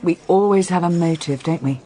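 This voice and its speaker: A woman's voice: on the low side, fairly quick, warm tone, natural voice. A woman acting in a radio drama as an earnest, restless reporter, articulate but hesitant and questioning. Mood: curious.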